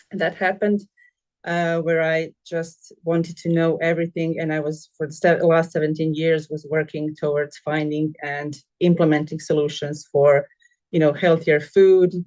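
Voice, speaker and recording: Middle-aged woman with a Croatian accent, halting delivery. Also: low-pitched, darkish tone. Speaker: compost practitioner and agricultural school project manager, presenting. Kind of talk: informal presentation of own work.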